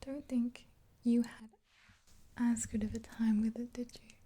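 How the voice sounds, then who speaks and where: Young woman in a hushed, breathy whisper. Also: fairly high pitch, flat delivery. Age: 20s. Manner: gentle, hushed, unhurried. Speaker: ASMR roleplay performer as makeup artist. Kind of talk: soft-whispered ASMR roleplay, casual rambling chat. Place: small indoor room, dark backdrop.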